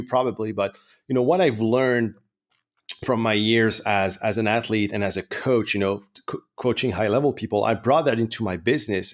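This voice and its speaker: A middle-aged man with a French Canadian accent hosting a podcast, chatting casually and rambling with many restarts and fillers. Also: fairly low pitch. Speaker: podcast host. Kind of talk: casual chat.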